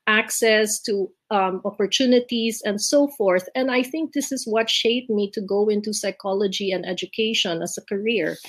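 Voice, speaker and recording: A middle-aged woman from the Philippines speaks in a slightly high voice, pausing often on ums. She sounds reflective and sincere, as if talking over a video call from home. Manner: reflective, many ums and restarts. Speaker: leadership award speaker. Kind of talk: personal life story talk. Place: home, virtual event.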